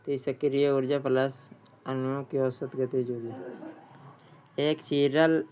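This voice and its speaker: A man's voice: mid-range voice, flat delivery, takes it slowly, a little mellow, clean tone. A man teaching an exam practice lesson, reading out questions and answers and often repeating a question before answering.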